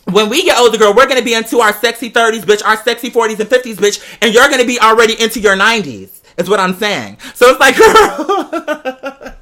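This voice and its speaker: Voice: medium pitch, lively intonation, brisk, pushed and bright. A young adult with an American accent telling gossipy stories to followers in a sassy, slangy, emphatic way, repeating phrases for effect. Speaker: vlogger addressing patrons. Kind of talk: casual gossipy storytelling.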